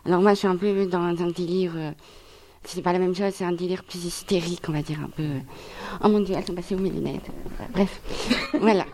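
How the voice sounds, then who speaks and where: A woman's voice: on the low side, fairly even intonation, fairly quick, mellow, a little hiss or hum. A young woman asking guests questions in a chatty, hesitant way, full of fillers. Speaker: audience member asking questions. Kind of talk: casual question.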